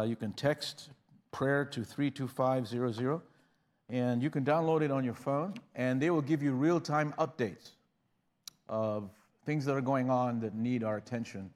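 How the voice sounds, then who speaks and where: A man's voice: mid-range voice, a little mellow. A man preaching a sermon in a conversational style, often restarting phrases and rising in bursts of emphasis. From Uganda. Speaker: guest preacher.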